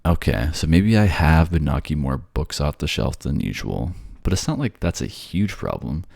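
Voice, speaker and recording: Very deep, gravelly sardonic ghost played by a man. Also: very animated, moderate pace, a little mellow, dry recording, studio quality, heavily acted. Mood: defensive, teasing. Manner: snarky, conversational, teasing. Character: sardonic bored male ghost haunting a library. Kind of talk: ASMR audio roleplay. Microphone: close, dry microphone.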